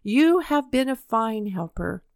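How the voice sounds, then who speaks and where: A woman voicing a kindly grown-man doctor who speaks calmly and gently. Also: fairly high pitch, a little slow, dry recording, studio quality. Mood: earnest.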